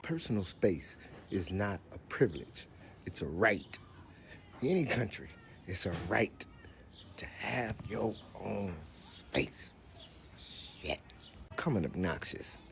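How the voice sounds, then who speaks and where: A man's voice: fairly low pitch, sing-song, creaky, a little hiss or hum. A man in a comedic rant, exasperated and drawing out his words for emphasis. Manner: exasperated ranting, drawn-out emphasis. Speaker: creator of a reacted clip.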